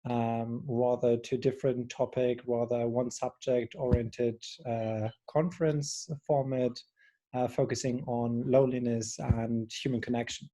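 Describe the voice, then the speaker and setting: A man from Germany, introducing himself casually in halting phrases as a participant. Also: medium pitch, level tone, warm tone, low-quality recording. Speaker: participant, community builder.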